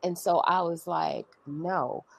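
A calm woman with a very low voice answers interview questions in an unhurried way. The recording is dry and close to the mic. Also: gravelly, breathy edge, no echo. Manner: calm, measured, soft-spoken. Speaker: guest. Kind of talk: casual interview talk.

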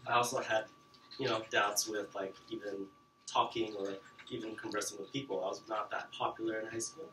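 A man's voice: fairly low pitch, level tone, a little fast, bright, forceful tone, slight background noise. A young man giving a casual talk to students in a classroom, chatty with many fillers. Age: young adult. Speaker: guest speaker. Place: classroom with projector screen. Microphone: far camera. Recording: roomy classroom from far off.

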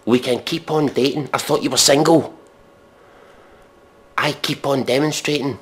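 A man giving a sarcastic dramatic reading with commentary, deadpan, mock-earnest and sweary. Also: medium pitch, moderate pace.

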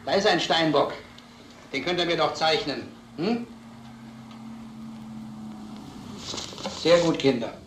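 An actor playing an inquisitive, patient, businesslike detective in a German crime drama. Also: male voice, fairly high pitch, expressive, moderate pace, reverberant, noisy background, natural voice. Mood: coaxing. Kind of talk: German film-style radio drama dialogue.

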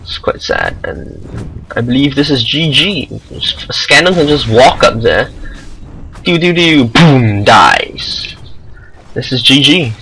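A man with a Singaporean accent giving casual amateur commentary over a video game match, excitable and rambling. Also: medium pitch, slow pace, vocal fry, a little hiss or hum, rough recording. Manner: excitable, rambling asides, self-deprecating.

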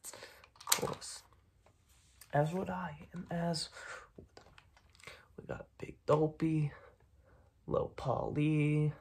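A young man voicing a smug, menacing mob boss in a soft-spoken, half-whispered ASMR roleplay full of gangster slang. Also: fairly high pitch, level tone, extremely slow, long pauses, slightly rough, exaggerated voice. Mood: solemn, coaxing. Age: young adult. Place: small dark room indoors.

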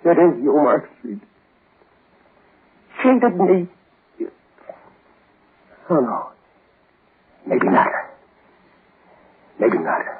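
Crazed revenge seeker, old radio melodrama, creaky voice, muffled recording. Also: male voice, medium pitch, heavily acted. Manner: melodramatic, halting, repeating phrases. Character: vengeful, half-mad man hunting his enemy among graves. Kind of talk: 1940s radio horror acting.

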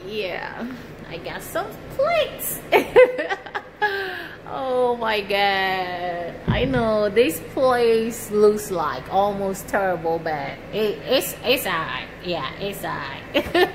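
A woman's voice: medium pitch, noisy background. A woman vlogger showing her nearly empty apartment on a handheld phone, talking casually and laughing between lines. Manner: slow, relaxed, laughs often between lines. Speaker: vlogger, service member. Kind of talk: casual home tour vlog. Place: small empty apartment, indoors. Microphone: handheld phone camera, speaker off-screen.

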